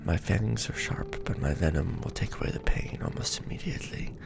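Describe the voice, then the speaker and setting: A man voicing a weary, tender aristocratic vampire lord in an ASMR romance roleplay, murmuring softly and formally, close to the microphone. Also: low-pitched, lively intonation, brisk, exaggerated voice. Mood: hesitant, soothing. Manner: soft, breathy murmuring, formal archaic phrasing. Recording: background music bed.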